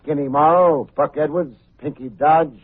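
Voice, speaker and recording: An actor playing a wry, self-assured hard-boiled private detective in a vintage radio drama, full of sardonic slang. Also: male voice, on the high side, steady tone, measured pace, breathy edge. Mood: tough, accusing. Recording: muffled, tinny vintage radio recording.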